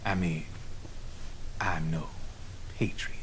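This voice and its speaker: Low-voiced slick streetwise arms dealer. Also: male voice, fairly even intonation, slow, noisy background. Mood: matter-of-fact, cynical. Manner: folksy slang, smug explaining. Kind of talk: video game cutscene acting.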